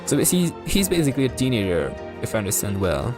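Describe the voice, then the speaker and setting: A woman's voice: very deep voice, sing-song, quick pace, over music. A woman game streamer giving casual live commentary as she reads through a story game. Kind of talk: live game commentary and reading dialogue aloud.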